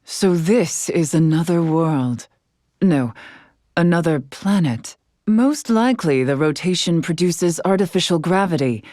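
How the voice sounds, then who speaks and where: Dignified, low-voiced fantasy scholar woman. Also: animated, warm tone, dry recording, studio quality. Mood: composed, curious. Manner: formal, archaic, measured diction. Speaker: video game voice actress. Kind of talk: scripted video game character dialogue.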